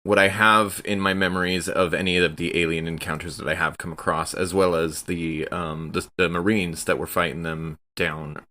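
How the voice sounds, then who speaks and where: A man's voice: low voice, level tone, moderate pace, few pauses, sharp tone. A man chatting casually as a player in a tabletop roleplaying game, improvising his moves.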